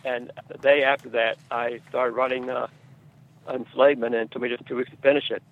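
Male screenwriter guest, halting delivery with fry, muffled low-fidelity recording. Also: little pitch movement. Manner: halting, repeats words and phrases. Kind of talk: conversational interview answers.

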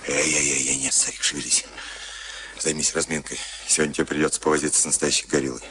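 An actor playing a huge, rough boxing trainer in a Soviet radio play, urgent and coaxing, repeating short commands. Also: male voice, very deep voice, little pitch movement, fast, heavy background noise. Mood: goading, chatty.

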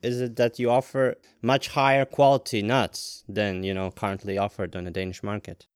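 A man's voice: on the low side, level tone, moderate pace, clean tone, no room sound, clean studio sound. A man, interviewing founders in a friendly, chatty way with jokey asides. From Latvia. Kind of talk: casual interview chat.

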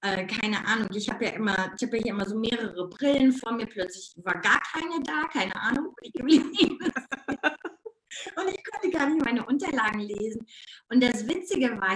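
A woman coach from the Ruhr area with a Ruhr German accent, talking in a casual interview, lively and bubbly, with words tumbling out and frequent laughs. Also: mid-range voice, echoey room, slight background noise, low-quality recording.

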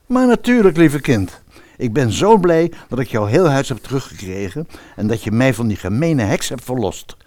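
A man's voice: medium pitch, sing-song, normal speed, a little mellow, no room sound, lightly acted. A man playing a doting father of a teenage girl in a radio fairy tale. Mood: relieved, doting. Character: doting, relieved rich father of a teenage princess. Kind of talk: Dutch radio play acting.

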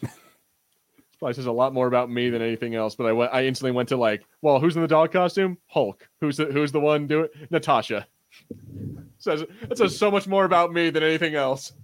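A man co-hosting a podcast, chatting casually in a halting, rambling way with repeated false starts. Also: a little high. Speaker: podcast host. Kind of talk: casual pop-culture banter.

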